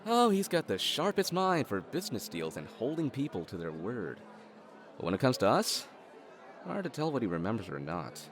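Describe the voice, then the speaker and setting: An actor playing a wry, easygoing elder brother in an audio drama, speaking with dry sarcasm. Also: male voice, mid-range voice, wildly swooping pitch, normal speed. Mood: polite, guarded. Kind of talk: naturalistic audio drama acting.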